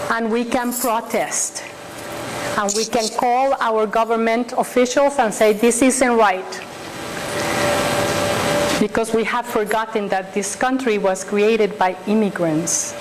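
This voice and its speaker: A woman's voice: fairly high pitch, a little slow. Woman preaching a dramatic sermon. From Colombia. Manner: animated storytelling, dramatic asides. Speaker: pastor. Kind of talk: first-person dramatic sermon. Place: church sanctuary. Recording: noisy church recording.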